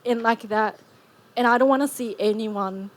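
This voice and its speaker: A woman from Japan in the audience, asking a halting question full of "like". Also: a little high. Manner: halting, heavy use of "like". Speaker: audience member.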